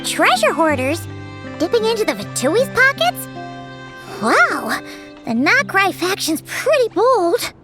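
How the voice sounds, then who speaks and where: A woman voicing a tiny chatty childlike companion girl in a video game, squeaky, expressive and eager. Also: slow pace, over music, heavily acted. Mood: surprised, chatty. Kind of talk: video game character voice acting.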